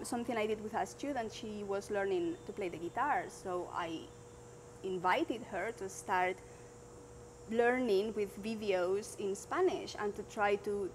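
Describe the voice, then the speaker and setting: A woman language teacher describing her students in an animated, warm way during an interview. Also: medium pitch, slight background noise, poor audio. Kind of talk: conversational interview answers.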